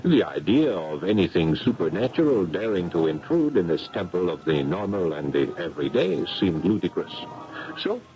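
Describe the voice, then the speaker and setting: A man's voice: low-pitched, big pitch swings, moderate pace, dark tone, soft background music. An actor playing a practical, skeptical, weary Frenchman with a dry, unruffled manner in a 1970s radio mystery. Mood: dry, dismissive. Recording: muffled 1970s radio recording, faint hiss.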